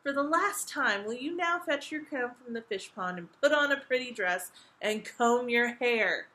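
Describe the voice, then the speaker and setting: A woman voicing a loud, commanding, exasperated king and father in a children's storybook. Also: fairly high pitch, unhurried. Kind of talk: children's storybook read-aloud.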